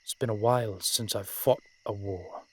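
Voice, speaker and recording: A man playing a centuries-old vampire general in a roleplay audio drama, protective and proud, speaking with courtly, measured phrasing and dramatic pauses. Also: on the low side, fairly even intonation, darkish tone, husky. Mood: protective, resolute. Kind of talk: roleplay audio drama, addressed intimately to one listener.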